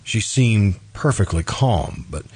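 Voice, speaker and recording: An actor playing a troubled, devoted suitor in an old-time radio drama, speaking in an earnest, uneasy, remonstrating way. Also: male voice, on the low side, expressive, slow pace, darkish tone, breathy edge, exaggerated voice. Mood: recounting, subdued. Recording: noisy recording with background hiss.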